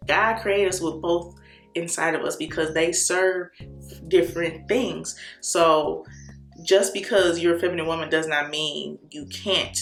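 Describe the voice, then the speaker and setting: A young woman with a Chicago accent, talking to the camera in an energetic, slangy way and laughing often. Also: on the low side, unhurried, gravelly. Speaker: vlogger. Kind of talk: casual talk to camera. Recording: echoey room with soft guitar music.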